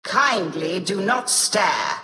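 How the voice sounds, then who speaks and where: An imperious tech-priest for a video game. Her bright, hard-edged voice moves expressively with curt disdain, inside an echoing, reverberant space. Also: mid-range voice, lively intonation, drawn-out words, full character voice. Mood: irritated.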